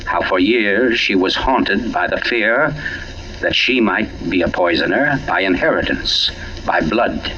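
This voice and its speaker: An actor playing an urbane, pompous gentleman writer turned sleuth in a 1940s radio mystery, teasing and deliberate in his delivery. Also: low voice, expressive, a little hiss or hum, exaggerated voice. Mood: confiding, measured. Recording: dull lo-fi old radio broadcast.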